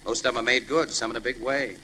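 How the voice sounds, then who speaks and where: An actor playing a breezy, wisecracking American newspaper crime photographer in a 1940s radio drama. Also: male voice, mid-range voice, steady tone, quick pace, sharp tone, lightly acted. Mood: easygoing, knowing. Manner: wisecracking, slangy, easygoing. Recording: noisy old radio broadcast recording.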